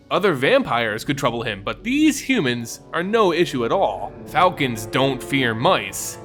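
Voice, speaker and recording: Animated, theatrical man narrating over music. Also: on the high side. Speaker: channel host and narrator. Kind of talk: dramatic reading of fantasy lore. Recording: music behind the voice.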